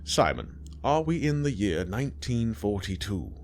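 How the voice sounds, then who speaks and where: A man doing a prim, haughty old gentleman. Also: lowish voice, very animated, measured pace, soft background music. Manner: fussy, clipped, formal questioning.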